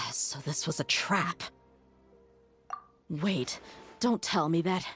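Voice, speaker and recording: A capable young mercenary woman in a video game, her voice notably low for a woman. She speaks in a measured, watchful and decisive way over background music. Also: animated, a bit bright, exaggerated voice. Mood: startled, suspicious. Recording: background music under the dialogue.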